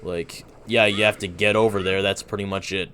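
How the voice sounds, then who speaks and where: A young man casually chatting while streaming a video game, relaxed and easygoing. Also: lowish voice, moderate pace, a little hiss or hum. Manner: relaxed, talks while playing, fillers and restarts. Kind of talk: casual gameplay chat.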